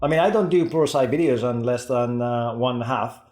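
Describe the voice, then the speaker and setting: Greek-Scottish accented man, echoey room. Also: medium pitch. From Greece. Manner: fast, excitable, restarts sentences. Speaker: guest. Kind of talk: casual podcast conversation.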